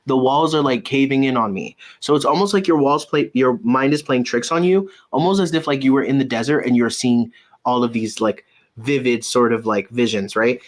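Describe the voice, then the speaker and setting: A man giving a casual, intuitive tarot reading, halting and circling back to repeat his phrases. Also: medium pitch. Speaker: tarot reader and medium, host.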